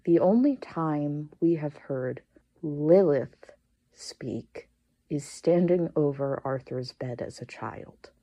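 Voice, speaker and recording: A woman listener delivering a prepared fan commentary with deliberate, theatrical phrasing. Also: low voice, lively intonation, dark tone. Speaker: listener sending a voice submission.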